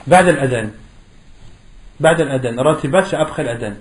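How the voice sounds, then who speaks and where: Male religious teacher, didactic, rhetorical questions, repeated key phrases, medium pitch, echoey room. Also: very fast. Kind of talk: interactive religious lesson with questions and answers.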